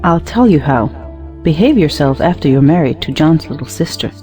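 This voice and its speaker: Actress doing a sugary married society lady around forty, mellow and a bit low, sly, stage comedy. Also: lively intonation, moderate pace, faint music behind. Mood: arch, sweet. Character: affectedly sweet, knowing married society woman of forty. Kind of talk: stage comedy audio play acting.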